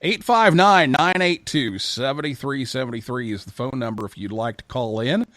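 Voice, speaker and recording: A man's voice: mid-range voice, moderate pace, a bit bright, dry recording. A man hosting a live call-in radio show in a casual, conversational style.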